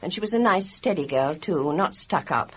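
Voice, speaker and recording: A woman's voice: on the low side, expressive, moderate pace, natural voice, rough recording. Lady playing british village nurse, nosy and indignant, voice swoops up and down, faint noise on the radio recording. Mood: chatty, gushing. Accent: British English. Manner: chatty, emphatic, judgmental. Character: gossipy, opinionated, indignant English village nurse. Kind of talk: radio drama acting.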